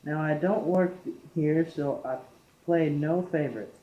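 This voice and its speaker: A man's voice: a little high, measured pace, lightly acted. A young man in an amateur radio play acting a stubborn, plain-spoken, crafty working man. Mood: matter-of-fact. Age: teenager. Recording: muffled, echoey classroom-made amateur recording.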